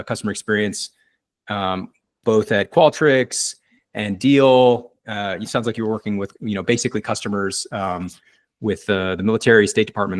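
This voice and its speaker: A man hosting a casual interview, asking loose, meandering questions. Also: fairly low pitch. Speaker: podcast host, interviewer.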